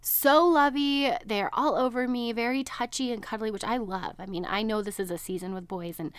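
A woman's voice: a little high, moderate pace, smooth, no echo, studio quality. A woman in her thirties hosting a solo podcast, chatty and bubbly, laughing often, her voice nasal and stuffed up from allergies. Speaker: podcast host, registered dietitian. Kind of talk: casual solo podcast chat.

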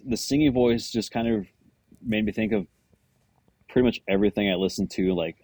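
A man's voice: on the low side, brisk, darkish tone. A man guest on a music podcast, talking candidly with hesitant restarts. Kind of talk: casual music chat.

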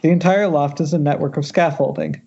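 A man's voice: a little high, a little fast, vocal fry, dark tone, husky, dull audio. A man informally reading stage directions at a script table read over an internet call, with wry, jokey ad-libs.

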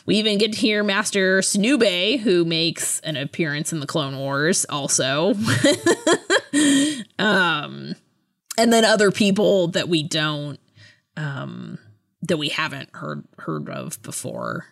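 A woman's voice: deep voice, big pitch swings, slow pace, gravelly, fairly bright tone. A woman co-hosting a podcast in a casual book discussion, thinking aloud with some hesitation. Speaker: podcast co-host.

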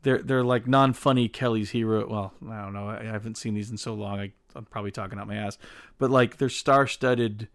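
A man's voice: on the low side, fairly even intonation, a little fast, no room sound, studio quality. A man co-hosting a podcast, stammering and repeating words as he gives strong opinions on films. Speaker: podcast co-host. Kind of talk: casual film discussion.

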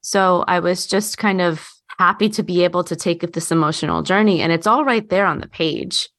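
A woman's voice: on the low side, normal speed, fairly bright tone. A woman chatting reflectively and casually in a roundtable, speaking in an earnest, heartfelt way. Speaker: podcast guest, actor.

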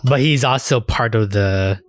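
A man's voice: medium pitch, a little slow. A man podcast host in casual discussion, rambling enthusiastically and swearing freely. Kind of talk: casual anime discussion chat.

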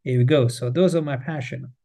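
Swiss man with a mellow French accent. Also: mid-range voice, brisk. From Switzerland. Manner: restarts and repeats words, passionate emphasis. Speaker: guest, cheese marketing head. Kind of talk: podcast interview. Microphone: remote, on the road.

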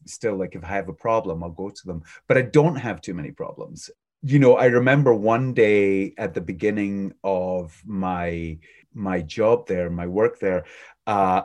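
Low-voiced man with a booming voice. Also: a little mellow. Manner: thoughtful, rambling with restarts. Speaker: preschool teacher. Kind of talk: casual interview chat.

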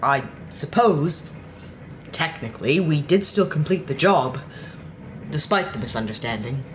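A woman's voice: sits low, expressive, a little hiss or hum. A woman playing an anxious, awkward human space traveller in a sci-fi audio drama, with a hesitant, naturalistic delivery. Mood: sheepish. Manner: hesitant, flustered, halting.

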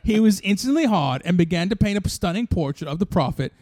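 A man's voice: high voice, lively intonation, brisk, darkish tone, no room sound, clean studio sound. A man co-hosting a comedy podcast, riffing an absurd improvised story in a breezy mock-earnest tone and chuckling along. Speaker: podcast co-host.

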